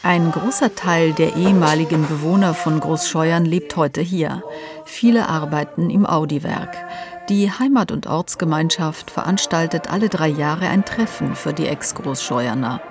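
Over music in the background, a woman from Transylvania tells her own story in a low, reflective voice. Her narration is measured and personal, like a documentary voice-over. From Transylvania, Romania.

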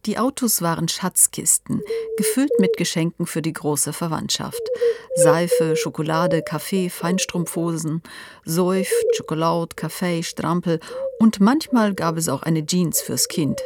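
A woman from Transylvania narrating a personal documentary in a reflective, measured way. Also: on the low side, lively intonation, noisy background. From Transylvania, Romania. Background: music.

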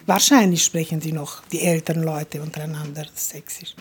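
Husky-voiced older woman with a Transylvanian Saxon accent. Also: deep voice, fairly even intonation, brisk. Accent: Transylvanian Saxon German. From Transylvania, Romania. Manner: soft-spoken, thoughtful, trailing off. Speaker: retired mathematics teacher, interviewee. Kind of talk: interview.

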